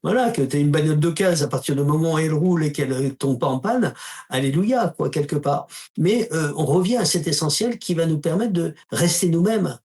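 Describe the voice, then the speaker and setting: A man's voice: a little high, fairly quick, a little mellow. A man giving an interview as a spiritual teacher, talking casually and telling stories with emphatic asides. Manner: storytelling, acts out quoted dialogue with emphatic asides; uses informal tu to the listener.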